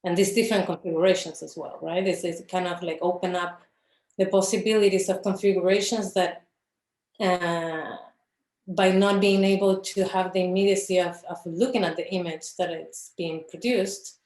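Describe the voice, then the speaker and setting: A woman's voice: lowish voice, flat delivery, normal speed, darkish tone, echoey room. A woman from Chile, an artist speaking in an interview who searches for her words with many fillers and restarts. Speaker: filmmaker, interview guest. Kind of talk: reflective conversation about her films.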